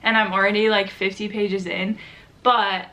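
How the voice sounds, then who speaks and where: A young woman in her mid-twenties talks to camera at home in an easy, rambling way, dropping "like" all through her sentences. Her voice is bright, though her nose is stuffy and her throat sore from allergies. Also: medium pitch, little pitch movement, normal speed, slight background noise. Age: 20s. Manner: chatty, lots of "like" fillers, gushing and critical about books. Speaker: book vlogger. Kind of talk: casual chat to camera.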